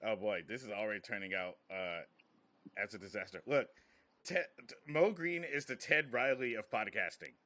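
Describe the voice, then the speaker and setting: Bright-voiced man hosting a casual podcast. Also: fairly low pitch, moderate pace, no echo. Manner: informal, profane. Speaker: podcast host.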